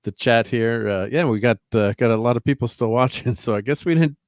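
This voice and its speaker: A man's voice: medium pitch, fairly quick, dry recording, clean studio sound. A man hosting a casual livestream, rambling through chat names and laughing often. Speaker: host.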